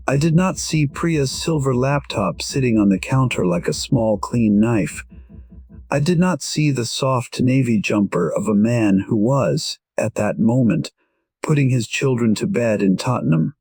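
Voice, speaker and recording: A man with a husky voice narrating a scripted first-person story in a measured, reflective manner. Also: mid-range voice, darkish tone.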